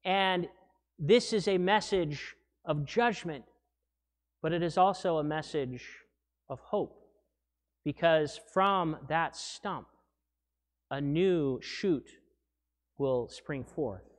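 A man in his thirties, a pastor preaching a sermon from the pulpit mic, animated and wry, with small restarts. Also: fairly high pitch, takes it slowly, pauses often. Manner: animated, gesturing while preaching. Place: church sanctuary, indoors. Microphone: gooseneck pulpit mic. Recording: long church reverb.

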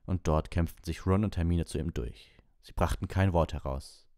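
A man with a very low, dark and mellow voice hosts an audiobook livestream. He sits close to the mic, and the sound is dry with no room around it. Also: moderate pace, vocal fry, no room sound. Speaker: audiobook reader and livestream host. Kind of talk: story narration and casual livestream chat.

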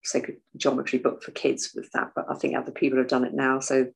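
Soft-voiced older woman, low pitch. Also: a little fast, gravelly. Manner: animated, waves arms while talking. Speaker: guest author and artist. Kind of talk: casual video call interview. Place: home room, indoors. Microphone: video call.

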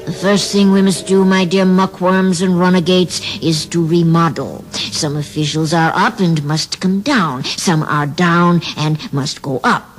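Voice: medium pitch, fairly even intonation, a little slow, hardly any pauses, soft background music, close to an everyday voice, poor audio. A performer voicing a scheming male devil tyrant, oily and wheedling, with theatrical asides in a radio drama.

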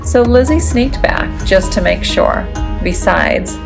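A woman giving an expressive read-aloud narration of a children's storybook. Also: on the high side, slow, gravelly. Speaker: storybook reader. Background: background music.

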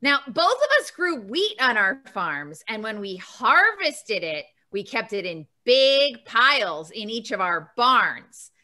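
A woman's voice: fairly high pitch, big pitch swings, takes it slowly, hardly any pauses, pushed and bright, full character voice. A woman voicing a kind, bubbly sister dinosaur puppet, telling a children's story in a playful, exaggerated way. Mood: storytelling. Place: room at home. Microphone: video call, computer mic.

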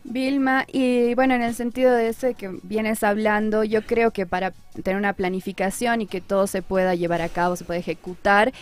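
A woman in her thirties from Argentina hosting a radio interview in a small studio, asking thoughtful questions on a boom-arm mic. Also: fairly even intonation, a little fast, hardly any pauses, faint music behind. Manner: soft back-channel listener, thoughtful questioner. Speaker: radio host.

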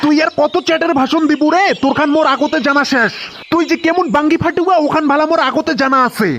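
Very high male voice, suspicious village dad, North Bengal accent, coarse insults, fast, faint noise, cartoon. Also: fairly bright tone. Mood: angry, mocking. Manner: coarse, scolding, mocking. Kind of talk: comedy cartoon dialect dialogue. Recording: faint background noise with clicks.

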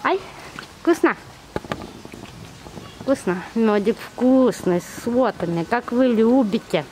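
A woman's voice: on the high side, expressive, slow, darkish tone, a little hiss or hum, low-quality recording. A woman talking in affectionate, cooing baby talk full of repeated words and pet diminutives, as if to animals, recorded on a handheld camera outdoors. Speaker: zoo visitor filming and feeding bears. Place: outdoors at zoo bear enclosures. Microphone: handheld camera held by the speaker.

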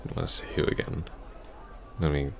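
A man playing a heartbroken, apologetic ex-boyfriend in an intimate ASMR roleplay, soft-spoken, halting and stammering. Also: a little hiss or hum, lightly acted. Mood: hesitant, apologetic.

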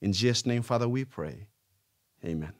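A young man from Papua New Guinea, a Bible worker, leading a slow, reverent prayer in a dark studio. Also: mid-range voice, expressive.

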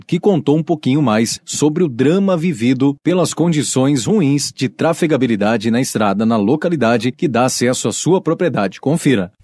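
A man's voice: medium pitch, a little mellow, breathy edge. A young man with a Brazilian Portuguese accent reporting outdoors on a dirt road with a handheld mic. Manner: brisk reporter's delivery with filler restarts. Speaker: local radio and web TV reporter. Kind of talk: field news report. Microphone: handheld microphone, masked.

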